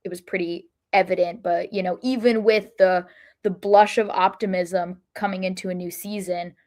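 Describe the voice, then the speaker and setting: A woman's voice: lowish voice, steady tone, normal speed, a little mellow. Woman casually talking sports analysis. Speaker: podcast co-host.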